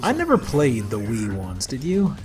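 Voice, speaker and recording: A man giving casual video game commentary as he plays, with halting, hesitant phrasing. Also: medium pitch, wildly swooping pitch. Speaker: let's player. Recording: game music behind the voice. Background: video game music.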